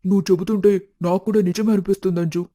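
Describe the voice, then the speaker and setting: A man voicing a worried young husband in an animated moral story, polite and serious. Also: high voice, racing, dry recording, clean studio sound. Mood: puzzled, serious.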